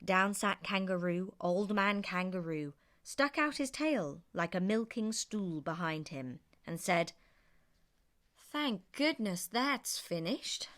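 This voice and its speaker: A woman's voice: on the low side, a little slow, hardly any pauses, fairly bright tone. A woman reading a children's story aloud in a theatrical, rhythmic sing-song way.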